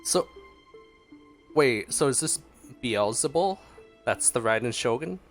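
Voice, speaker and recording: A man's voice: mid-range voice, lively intonation, takes it slowly. A man giving casual reaction commentary as a game streamer, musing aloud and exclaiming. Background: game music.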